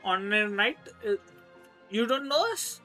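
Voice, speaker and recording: A man's voice: high-pitched, expressive, moderate pace, bright, forceful tone, faint music behind. A man with an Indian accent and Hindi as his native language, giving casual live commentary as a game streamer.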